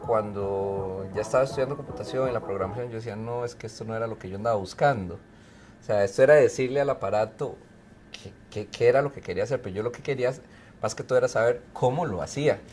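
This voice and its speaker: A middle-aged man, a professor and researcher from Mexico who grew up in Costa Rica, answering interview questions in a relaxed, explanatory way with frequent fillers. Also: on the low side. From Mexico, raised in Costa Rica. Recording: faint music behind.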